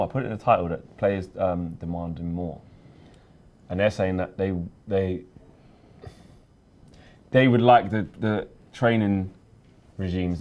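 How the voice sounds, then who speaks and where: A man's voice: low voice, a little mellow. A man, hosting a casual football chat and reading out viewer comments. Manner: rapid, reads viewer comments. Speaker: football show host. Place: dark studio.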